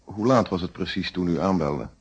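An actor in a Dutch radio play playing a courteous, methodical police inspector with formal, deliberate questioning. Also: male voice, sits low, slightly rough. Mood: courteous, probing. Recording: dull, band-limited old radio recording.

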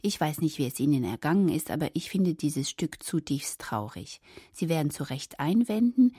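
Low, husky woman presenting on radio. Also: big pitch swings, normal speed, warm tone, dry recording, studio quality. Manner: wry, addressing listeners directly. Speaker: radio drama host. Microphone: close studio microphone.

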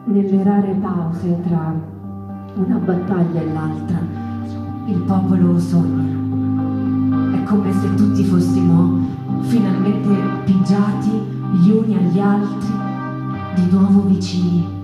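An actress playing a wistful, poetic narrator in a literary stage monologue, measured and deliberate with pauses. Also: female voice, on the low side, steady tone. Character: wistful, poetic female narrator of the mouse folk. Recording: very echoey theatre with music underneath.

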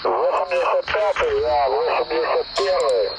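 A man's voice: a little high, huge pitch swings, a little slow. A man acting as radio controller, speaking in clipped radio procedure with call signs and acknowledgements. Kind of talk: military radio traffic. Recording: muffled radio intercept with loud static.

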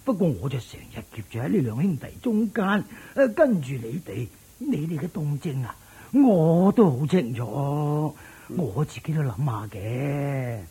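An actor playing an anxious, loyal old male family servant with a husky, breathy voice and a Hong Kong Cantonese accent, in a radio drama. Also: fairly high pitch, big pitch swings, unhurried, hardly any pauses, dark tone, full character voice. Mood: sighing, worried. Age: older.

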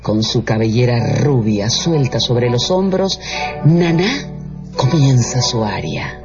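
A man narrating a literary radio drama in a deliberate storytelling manner. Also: medium pitch. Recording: muffled audio under loud music.

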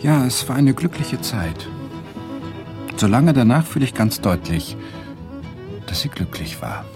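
An actor playing a lecturer who narrates fond memories in a radio drama, in a reflective, measured, nostalgic way. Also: male voice, mid-range voice, very animated, vocal fry, over music. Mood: wistful, reflective. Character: male Swedish guest lecturer fondly recalling happy days.

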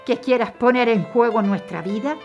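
A woman's voice: on the high side, normal speed, a little mellow, highs cut off, faint music behind, close to an everyday voice. An actress playing a worried, reproachful wartime wife and mother, pleading in an audio drama.

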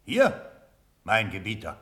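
An actor playing a loyal, frank old Prussian colonel in a classical German radio drama, respectful and declamatory. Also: male voice, on the low side, wildly swooping pitch, takes it slowly, bright, forceful tone, exaggerated voice.

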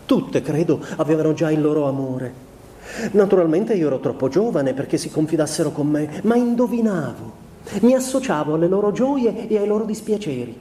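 A male actor plays a sensitive young husband in a radio drama confession. His voice sits high for a man, with a soft, muted tone. He phrases his literary monologue slowly and inwardly, with restrained sadness, in an echoey room. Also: natural voice. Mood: confiding, tender. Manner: measured, introspective, deliberate phrasing with pauses. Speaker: stage actor. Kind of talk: literary dramatic monologue, letter read aloud. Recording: echoey room with faint background noise.

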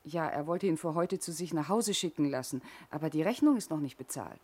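A woman's voice: deep voice, expressive, a little fast, warm tone, slightly rough. Deferential female office clerk. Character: deferential female office employee reporting to her boss. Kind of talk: German radio play acting.

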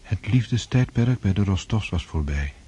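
A man narrating a radio drama as a sober literary storyteller, measured and grave. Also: on the low side, animated, dark tone, husky.

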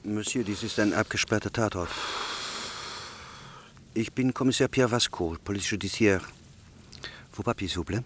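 Actor as low-voiced, coolly formal French police inspector. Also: male voice, normal speed, pauses often, slight background noise, close to an everyday voice. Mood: cool, suspicious. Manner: formal, clipped, officious. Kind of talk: radio drama acting.

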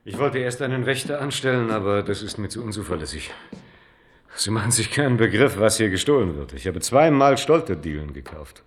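An actor plays a male manager in his early thirties, brash and on his guard. His fairly low, animated voice has a casual, wry, brusque edge, performed naturalistically for radio drama. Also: fairly quick, lightly acted. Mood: wry, grumbling.

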